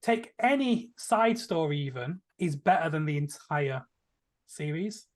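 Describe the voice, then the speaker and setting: A man with a voice pitched a little above average. He talks casually, like a panelist on an entertainment podcast, offering his own opinions in loose, conversational speech. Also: animated, measured pace. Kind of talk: casual opinionated chat about films and TV.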